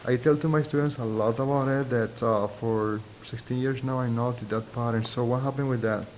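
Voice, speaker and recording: A middle-aged man and native Spanish speaker with a thick Puerto Rican accent, giving reflective, halting, deliberate interview answers as a university professor. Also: fairly even intonation, darkish tone. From Puerto Rico. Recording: noisy, low rumble behind.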